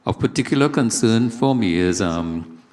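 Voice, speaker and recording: A man with a fairly low voice speaks up at a conference session. He is earnest, with a self-deprecating touch, and pauses often with "um". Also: animated, darkish tone. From Trinidad and Tobago. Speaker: conference audience participant. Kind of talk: conference remarks. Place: large conference hall.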